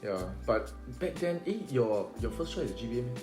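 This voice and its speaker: A man's voice: mid-range voice, big pitch swings, fairly quick, roomy sound, over music. A young man with a Singaporean English accent co-hosting a casual chat, playful, restarting phrases and using particles like "lah" and "sia". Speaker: podcast co-host.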